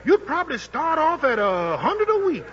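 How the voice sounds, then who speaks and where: High, lively, pushy boxing manager. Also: male voice, normal speed, faint music behind, heavily acted. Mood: pushy, persuasive. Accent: American. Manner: slangy, coaxing, insistent. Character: fast-talking, pushy, wheedling boxing manager. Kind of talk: 1940s radio acting. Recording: muffled, noisy 1940s radio broadcast.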